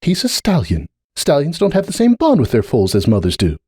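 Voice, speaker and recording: Man putting on a high-pitched, indignant cartoon pony mother. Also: huge pitch swings, brisk, a little mellow, breathy edge, dry recording, clean studio sound, full character voice. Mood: dismissive. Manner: curt, dismissive. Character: defensive, indignant pony mother, stubborn and sharp-tongued.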